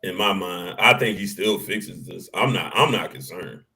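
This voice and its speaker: A man with a deep, bassy voice, co-hosting a casual sports talk show and arguing his points with animated, salty energy. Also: moderate pace, gravelly. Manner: animated, argumentative, swears casually.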